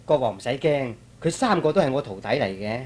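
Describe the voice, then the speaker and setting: A man's voice: mid-range voice, expressive, fairly quick, darkish tone, husky, voice pushed down. A man playing a pious, humble monk in a Cantonese radio drama, speaking formally and deferentially. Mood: humble, calm. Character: pious elderly Tang monk, humble and calm.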